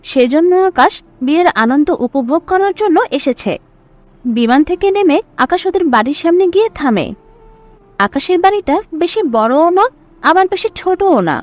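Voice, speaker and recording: High, animated female story narrator. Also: normal speed.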